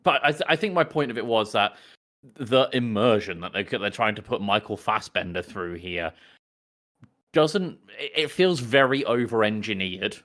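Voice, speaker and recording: Bright, lowish-voiced man explaining deliberately. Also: animated. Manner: wordy, deliberate, self-correcting explanation. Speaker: podcast co-host. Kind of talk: casual film review chat.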